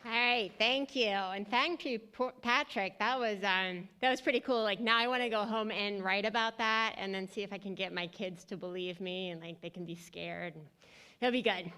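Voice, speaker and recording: A woman's voice: medium pitch, fairly even intonation, moderate pace, bright, forceful tone, clear, resonant tone. A woman, a teacher, introducing readings at an event in a chatty, informal way.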